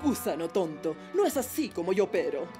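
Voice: mid-range voice, sing-song, fairly quick, over music, natural voice. A performer voicing a smug, commanding villain apple in a Latin American Spanish cartoon dub, gloating and theatrical. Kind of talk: animated cartoon dubbing.

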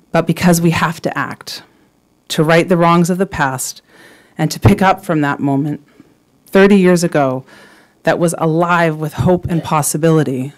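Earnest young woman giving a podium speech. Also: low-pitched. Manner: earnest, rhetorical, measured phrasing. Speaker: award speaker. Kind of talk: prepared advocacy speech. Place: large conference hall, indoors. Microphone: podium microphone.

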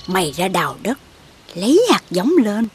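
A woman's voice: mid-range voice, normal speed, soft background music, natural voice. Wildly swooping, sneaky cartoon minion, voiced by a woman. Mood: scheming, annoyed. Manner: conniving, excitable. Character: sneaky, spiteful servant creature, excitable and fawning. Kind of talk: animated fairy tale voice acting.

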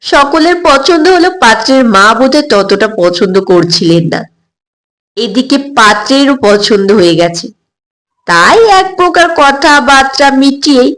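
A woman's voice: mid-range voice, a bit bright. A woman narrating an audio story expressively in the first person.

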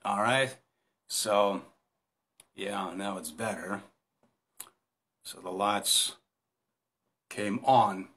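A man's voice: fairly low pitch, very slow, pauses often, sharp tone. Man with a Russian accent teaching. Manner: hesitant, many fillers and restarts, gestures while talking. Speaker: Bible teacher. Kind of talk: informal recorded Bible talk. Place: small indoor room against a plain wall. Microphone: phone camera at arm's length.

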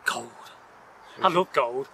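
A young man joking around outdoors with a co-host in a playful, excitable way. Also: medium pitch, slow pace, over a noisy crowd. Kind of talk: comic banter. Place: outdoor garden by a pond. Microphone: camera mic at a few metres.

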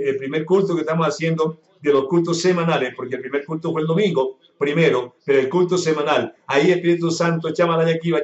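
A man preaching a fervent healing prayer, his voice a little higher than most men's, chanting the same phrases over and over. The sound is dull and lo-fi, carried in an echoey church room over a radio broadcast. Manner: repetitive chanting cadence, speaking in tongues. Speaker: pastor. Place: church service.

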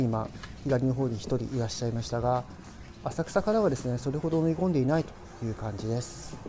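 A man giving unhurried, casual travel vlog narration, explaining things in drawn-out phrases. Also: medium pitch, dark tone. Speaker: railway travel vlogger. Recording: clear train and station noise behind.

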